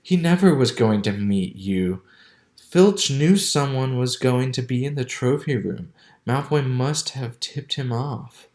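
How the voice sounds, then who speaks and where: A woman's voice: extremely low pitch, lively intonation, slow pace, darkish tone, voice pushed down, heavily acted. A woman voicing a bossy, clever schoolgirl who speaks urgently. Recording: roomy echo.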